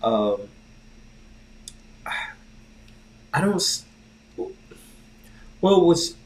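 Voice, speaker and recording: A man's voice: a little high, very animated, drawn-out words, darkish tone, rough recording. A man co-hosting a podcast, chatting casually in a laid-back, slangy way. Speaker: podcast co-host. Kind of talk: casual chat.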